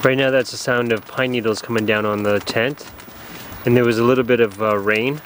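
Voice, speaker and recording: A man's voice: medium pitch, fairly even intonation, a little fast. A middle-aged man telling a canoe trip story to a close camera, rambling and restarting his sentences. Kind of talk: casual narration to camera. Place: inside a small tent at night during a storm. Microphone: camera held close in the tent. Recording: outdoor storm noise. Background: wind, rain and pine needles on the tent.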